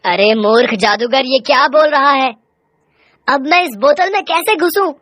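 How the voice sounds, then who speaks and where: A woman's voice: high-pitched, moderate pace, a bit bright, no echo, studio quality. A woman voicing an indignant, hot-headed young village husband in a horror story, scolding and challenging.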